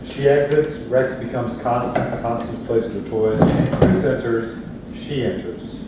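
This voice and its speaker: Man, medium pitch, scripted stage-direction narration, distant, noisy recording. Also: a little slow. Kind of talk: scripted narration in a play reading. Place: theatre space, recorded from audience distance. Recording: echoey room, far mic, noisy.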